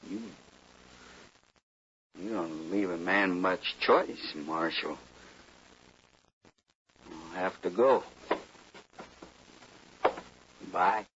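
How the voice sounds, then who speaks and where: An actor playing a stubborn, dim-witted hired gunman with halting rustic speech in an old-time radio western. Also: deep voice, fairly bright tone, full character voice. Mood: grudging. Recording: noisy muffled old radio recording.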